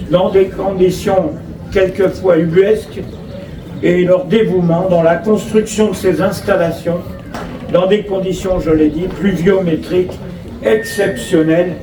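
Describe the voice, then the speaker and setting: A man with an accent from France delivers a formal inauguration speech as a local official, reading in measured phrases and adding asides. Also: high voice. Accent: French from France. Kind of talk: inauguration speech, read from notes with ad-libbed asides. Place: outdoor school forecourt, public address. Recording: roomy echo, distant mic, clear background noise.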